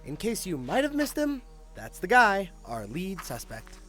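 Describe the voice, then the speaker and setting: A man's voice: sits high, huge pitch swings, measured pace, a bit bright, soft background music, exaggerated voice. A man acting a confident, cynical police detective with a sardonic, matter-of-fact manner in an audio drama. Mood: sardonic, assured.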